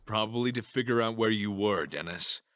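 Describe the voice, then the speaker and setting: A man's voice: fairly low pitch, moderate pace, fairly bright tone, dry recording, studio quality, natural voice. A man playing a blunt, suspicious police inspector in an audio crime drama. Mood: firm, probing.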